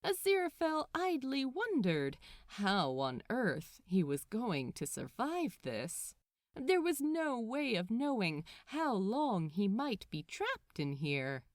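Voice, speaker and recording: Animated woman reading a story, unhurried. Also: on the high side, dry recording, clean studio sound. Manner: animated, measured, dramatic phrasing. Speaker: podfic narrator. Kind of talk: expressive scripted storytelling narration.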